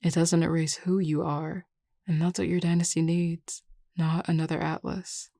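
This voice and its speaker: A woman voicing a composed, teasing and reassuring werewolf pack leader in an audio roleplay, measured, assured and dryly wry. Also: fairly low pitch, little pitch movement, creaky, warm tone, dry recording, studio quality. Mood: firm, reassuring. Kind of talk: intimate audio roleplay acting.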